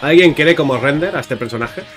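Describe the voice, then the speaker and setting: A man's voice: fairly high pitch, a little fast, a bit bright. A man giving casual, chatty video game commentary, speaking informally and directly to his viewers with playful exclamations. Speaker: gaming YouTuber. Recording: music under the voice. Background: music.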